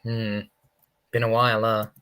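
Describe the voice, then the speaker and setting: A man's voice: fairly low pitch, completely flat delivery, unhurried, bright, forceful tone, smooth. A man livestreaming a video game with casual commentary, reading dialogue aloud.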